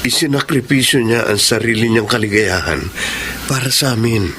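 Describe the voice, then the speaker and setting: A sincere Filipino man of medium pitch played by an actor, pleading as a loyal brother in a radio drama. Clear noise sits behind the voice. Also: moderate pace, close to an everyday voice. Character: sincere brother pleading for his sibling's happiness. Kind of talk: Tagalog radio drama acting.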